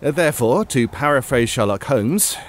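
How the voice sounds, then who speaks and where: An actor playing a knowing, slightly fussy time-travelling show host in a scripted comic audio drama. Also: male voice, mid-range voice, animated, moderate pace, close to an everyday voice. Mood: knowing, ominous.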